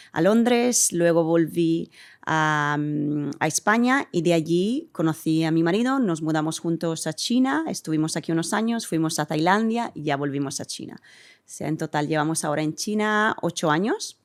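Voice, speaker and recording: A woman from Italy, a guest in an interview, answering thoughtfully and pausing with hums as she searches for words. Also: low-pitched. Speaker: interview guest, community center director.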